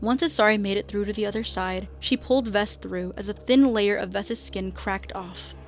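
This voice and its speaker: A woman's voice: medium pitch, normal speed, soft background music. A woman reading scripted narration as the narrator of a radio drama.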